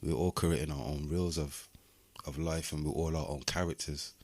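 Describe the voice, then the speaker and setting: A man's voice: a bass voice, fairly even intonation, moderate pace, darkish tone, no echo. A man from Birmingham with a Birmingham accent, talking casually and reflectively as an interview guest, with slangy phrasing. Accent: Birmingham English. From Birmingham, England. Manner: reflective, philosophical, uses slang and tag questions like "you know what I mean".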